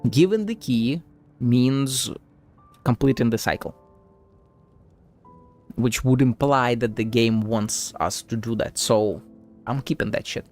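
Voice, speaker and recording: Casting: male game streamer, average pitch, casual, sweary, doubting commentary, faint music behind. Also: slow, pauses often, darkish tone. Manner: profane, sceptical, thinking aloud. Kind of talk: casual live gameplay commentary.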